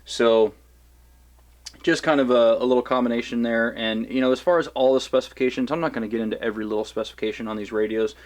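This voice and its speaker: A man giving a relaxed, rambling product review of radios, with lots of fillers and restarts. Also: mid-range voice, fast. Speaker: survival gear reviewer.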